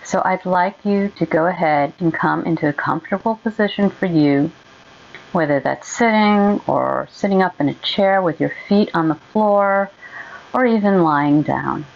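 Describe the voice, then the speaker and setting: A woman leading a guided meditation in a gentle, soothing, unhurried way with long pauses. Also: lowish voice, creaky, highs cut off.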